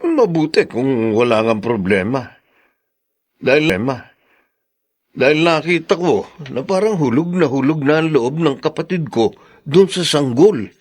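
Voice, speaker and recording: A polite, worried grown son in a radio play. He speaks respectfully, with a mid-range voice that rises and falls in a lively way. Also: on the high side, unhurried. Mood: concerned. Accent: Filipino. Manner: respectful, concerned. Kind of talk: radio drama acting.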